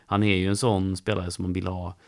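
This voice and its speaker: A man's voice: sits low, level tone, a little fast. A man hosting a fan podcast, asking questions and chatting casually with plenty of fillers. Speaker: podcast host. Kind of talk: casual chat and interview.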